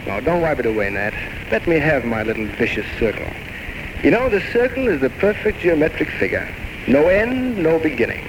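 A man's voice: fairly high pitch, big pitch swings, normal speed, highs cut off. A man playing an eloquent, charming but desperate drinker in an old-time radio drama, with florid, mock-grand, poetic delivery. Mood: rhapsodic. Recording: noisy vintage radio recording with music.

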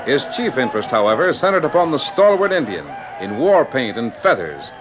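An actor as the grand narrator of an old radio western, reading in a dramatic scripted style. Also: male voice, mid-range voice, big pitch swings, fairly quick, background noise, natural voice. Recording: muffled old radio recording.

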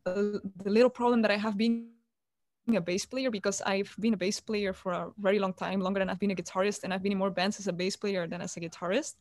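A woman's voice: mid-range voice, fairly even intonation, quick pace, dry recording, studio quality. Woman guest on a video call. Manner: chatty, hesitant restarts, warm about family. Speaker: guitarist guest. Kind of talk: casual interview over video call. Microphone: computer on video call.

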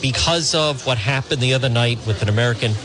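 A man's voice: mid-range voice, fast talker, a crowd in the background. Earnest New England man interviewing on the street. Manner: earnest, rambling questions with restarts. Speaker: radio host reporting from abroad. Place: outdoors at a border crossing among refugees.

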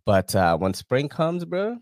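A man's voice: medium pitch, very animated, unhurried, a little mellow, no echo, clean studio sound. A man hosting a live podcast, casually reading sponsor ads with slang, cursing and laughter. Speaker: podcast host.

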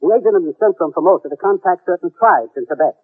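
An actor playing a businesslike government commissioner in an old radio drama, formal and authoritative. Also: male voice, high voice, animated, quick pace, husky. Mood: urgent briefing. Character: businesslike male government commissioner briefing his agent. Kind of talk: 1940s-50s radio drama acting. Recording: muffled old radio recording.

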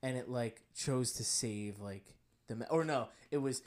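A young man co-hosting a podcast, telling stories in a relaxed, dry-humored way with many filler words. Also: medium pitch, a little slow. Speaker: podcast co-host. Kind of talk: casual chat.

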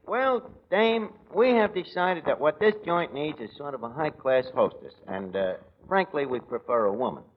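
A man's voice: fairly high pitch, expressive, moderate pace, hardly any pauses. A man playing a brash, slangy, uneducated tavern manager in an old radio comedy. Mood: persuasive. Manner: slangy, ungrammatical, streetwise. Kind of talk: 1940s radio comedy acting. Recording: muffled, very noisy old radio recording.

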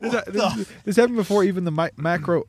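A man's voice: high voice, quick pace. A man in his twenties co-hosting a casual podcast from a parked car, laughing often and tripping over his words. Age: 20s. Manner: giggly, trips over words. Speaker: podcast co-host.

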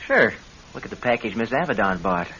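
An actor playing a sardonic, spendthrift husband in an old-time radio drama, with a breezy way of talking. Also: male voice, lowish voice, big pitch swings, brisk. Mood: flippant, sardonic. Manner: sarcastic, breezy. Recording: dull, hissy vintage radio recording.

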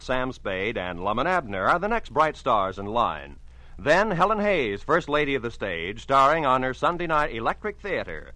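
A man's voice: mid-range voice, bright, forceful tone, no room sound, low-quality recording. A man reading scripted announcements as a polished, promotional 1940s radio announcer.

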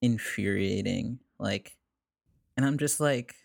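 Gravelly, low-voiced young man chatting. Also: slow, no room sound, studio quality. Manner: rambling, lots of "like", laughs while telling stories. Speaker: musician guest. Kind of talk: casual conversation.

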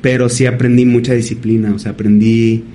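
A man, a painter as guest, telling stories in a thoughtful, halting way. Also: mid-range voice, little pitch movement, mellow, slight background noise. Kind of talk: casual interview storytelling.

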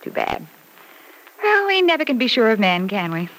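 Gushing, animated mother. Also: fairly high pitch, normal speed. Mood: concerned, catty. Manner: effusive, theatrical, cooing with barbed sweetness. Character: gushing young mother, sweetly catty and dramatic. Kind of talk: old-time radio soap opera acting. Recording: noisy vintage radio recording.

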